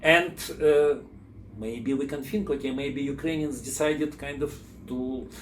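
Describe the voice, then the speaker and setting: A man's voice: mid-range voice, fairly even intonation, a little slow. Man rambling in an echoey room. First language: Russian. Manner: rambling, many fillers and restarts. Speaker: channel host. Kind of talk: informal explainer talk. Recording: echoey room, faint background hum.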